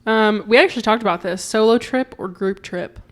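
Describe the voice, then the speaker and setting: A woman co-hosting a podcast in casual chat, upbeat and quick to agree as she asks rapid-fire questions. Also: mid-range voice. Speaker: podcast co-host.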